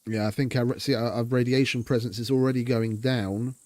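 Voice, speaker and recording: A man's voice: mid-range voice, steady tone, a little fast, a little mellow, no room sound. A man giving casual commentary while streaming a game, thinking aloud and talking to his chat. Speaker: gaming streamer.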